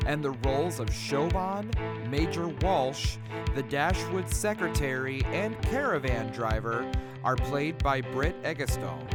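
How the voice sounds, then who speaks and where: A man reading scripted credits as the announcer of an audio show. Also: a little high. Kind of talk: scripted narration.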